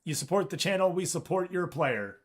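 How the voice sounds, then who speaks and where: A man streams from his office, chatting loosely with viewers and retelling a run-in with a customer. He talks in an animated, profane, storytelling way, and his voice sits a little higher than you'd expect for someone who calls it deep. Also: a little fast. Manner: animated storytelling, swears, reads chat aloud. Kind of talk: casual chat with live chat.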